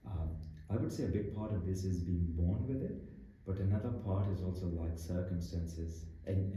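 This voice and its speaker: Young man from Pakistan, founder guest, low dark voice, earnest and searching, strong room echo. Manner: earnest, thinks aloud with restarts and laughs. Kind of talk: casual interview conversation. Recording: very echoey room.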